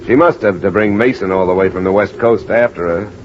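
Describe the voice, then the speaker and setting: A man's voice: lowish voice, brisk, slight background noise, close to an everyday voice. An American man playing a dry, tough investigator who narrates in clipped, hard-boiled old radio detective style. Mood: pressing. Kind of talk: 1940s-50s radio detective acting and narration. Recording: muffled old radio recording, music behind.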